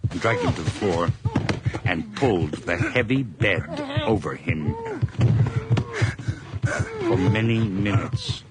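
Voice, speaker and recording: An actor narrating as a nervous, obsessive man confessing a murder in a radio drama, his delivery intense and feverish, moving between hushed whispers and sudden outbursts. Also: sits low, lively intonation, slow, pauses often, very noisy.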